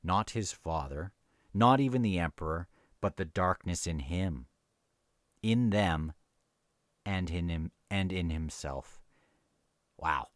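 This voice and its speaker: A man hosting a casual book review, talking and reading passages aloud in a halting, self-correcting way. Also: deep voice, lively intonation, dry recording, studio quality.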